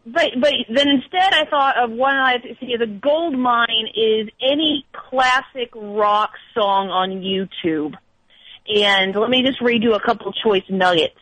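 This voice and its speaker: A woman's voice: fairly high pitch, a little slow, a bit bright, no echo, rough recording. A young woman caller chatting casually and reading comments aloud, somewhat hesitant in manner. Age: young adult.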